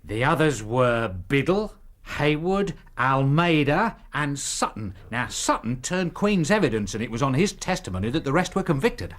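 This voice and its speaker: A dogged British police inspector in a radio drama. His mid-pitched voice moves in lively swings. He is deferential and plodding, yet stubbornly sceptical. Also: moderate pace. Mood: recollecting. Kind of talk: BBC radio drama acting.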